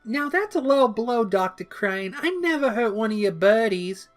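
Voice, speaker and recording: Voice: medium pitch, animated, normal speed, soft background music, voice pushed up, natural voice. A narrator voicing a bubbly, mischievous, childlike young villainess who exclaims, teases and pouts playfully in an audiobook-style story. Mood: pouty. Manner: exclaiming, teasing, playfully pouty.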